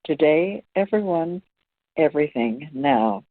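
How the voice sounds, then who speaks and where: A woman giving a spiritual teaching talk as presenter, speaking in deliberate phrases. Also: deep voice, lively intonation, no room sound, phone-quality sound. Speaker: presenter leading a spiritual discussion.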